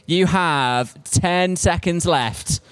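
A man's voice: fairly high pitch, very slow, fairly bright tone, clean tone. A man with an English accent hosting a radio segment, giving upbeat running commentary. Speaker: radio presenter.

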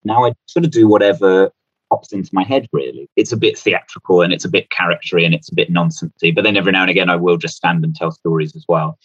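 A man's voice: low voice, fairly even intonation, quick pace, slightly rough, dry recording, muffled recording. A man chatting casually as a comedian guest, rambling through anecdotes in a self-deprecating way.

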